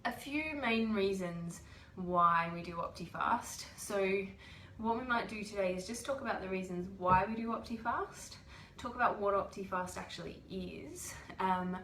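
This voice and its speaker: A woman's voice: mid-range voice, unhurried, a bit bright, slight background noise. A woman who has a cold, hosting a casual livestream and explaining things patiently with lots of ums. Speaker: host. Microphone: webcam or phone livestream. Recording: echoey room.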